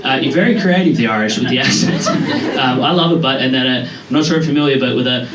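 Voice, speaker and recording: A young Australian man performing a chatty, self-deprecating stand-up set with quick asides to a live pub crowd. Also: mid-range voice, recorded from a distance, background noise. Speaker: stand-up comedian. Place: pub comedy room with a live audience. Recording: echoey room taped from afar, loud crowd laughter.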